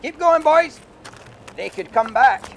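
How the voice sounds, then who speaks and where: A man voicing a gruff, blunt, burly Viking warrior leader in an audiobook. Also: very high-pitched, lively intonation, slow, slight background noise, voice pushed up, heavily acted. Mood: gruff, urgent. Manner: blunt, barking, tactless.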